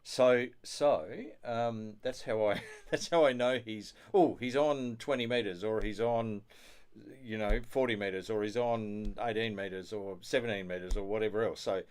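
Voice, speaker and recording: A man's voice: fairly low pitch, steady tone, moderate pace, clean tone. A man hosting a casual studio chat, affirming and given to chuckling as he talks. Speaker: host of an amateur radio show. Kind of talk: casual chat about ham radio.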